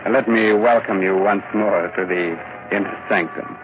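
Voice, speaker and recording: Sinister old-time radio horror host. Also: male voice, lowish voice, steady tone, moderate pace. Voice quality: sinister, theatrical. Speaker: show host and narrator. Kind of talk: 1940s radio horror narration. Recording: tinny 1940s radio broadcast, hiss.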